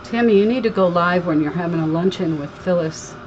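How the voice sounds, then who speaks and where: Low-voiced chatty woman from Connecticut. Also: fairly quick, a little mellow, muffled recording, a little hiss or hum. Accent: slight Southern twang. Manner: chatty, reads viewer comments aloud, laughs easily. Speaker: crafting livestream host. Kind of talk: casual live crafting chat.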